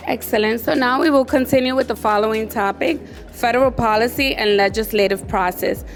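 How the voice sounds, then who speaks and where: A woman's voice: fairly high pitch, a little fast, faint music behind. A woman moderating a panel at a restaurant event, giving formal, scripted introductions. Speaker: moderator of a professionals' group panel. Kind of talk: event hosting. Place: restaurant event space, indoors.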